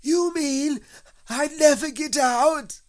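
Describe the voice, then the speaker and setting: A man voicing a plump, innocent, greedy storybook bear with hesitant, plaintive, drawn-out speech. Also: fairly high pitch, lively intonation, darkish tone, voice pushed up. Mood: anxious.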